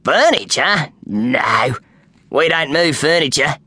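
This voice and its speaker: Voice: mid-range voice, animated, takes it slowly, sharp tone, husky, no echo, full character voice. A storybook reader voicing a friendly, ragged Australian workman who moves houses, cheerful and matter-of-fact. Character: friendly ragged grown man, house-moving worker.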